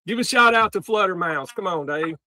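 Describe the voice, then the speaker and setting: A man's voice: high voice, animated, fairly quick, pushed and bright, no echo, studio quality. A man hosting a casual livestream, asking probing questions in an informal way. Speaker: host of a livestream taking calls from jail. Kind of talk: casual livestream interview chat.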